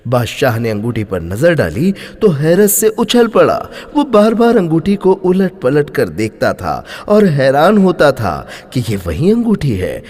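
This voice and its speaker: Man, dramatic story narration, widely swinging intonation, slightly rough husky tone, long hall reverb. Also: fairly high pitch, unhurried, hardly any pauses. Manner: expressive, emphatic storytelling.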